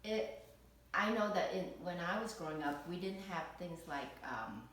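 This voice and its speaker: A woman's voice: on the low side, lively intonation, moderate pace, a bit bright, a little hiss or hum. A woman from Vietnam being interviewed as a parent, speaking hesitantly with many fillers and restarts. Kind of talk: casual recorded interview. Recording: echoey room, distant mic.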